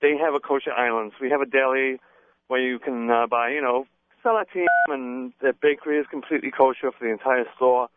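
A man with a fading English accent, talking as a guest on the phone in a friendly, measured way. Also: mid-range voice, steady tone, clear, resonant tone, no room sound. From England. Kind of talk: phone interview. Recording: tinny phone line.

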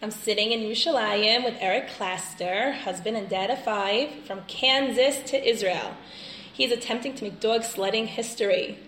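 A woman's voice: fairly high pitch, moderate pace, a bit bright. A woman with a New Jersey American accent conducting a casual interview. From New Jersey, United States. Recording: roomy, clearly noisy location recording.

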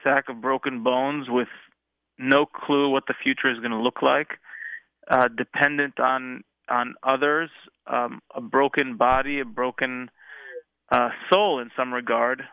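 A man's voice: mid-range voice, little pitch movement, measured pace, clear, resonant tone, no room sound. Earnest American man on a phone line. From Israel, raised in the United States. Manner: earnest, reflective, many fillers and restarts. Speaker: interview guest, musician. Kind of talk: casual interview storytelling. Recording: tinny phone-line sound.

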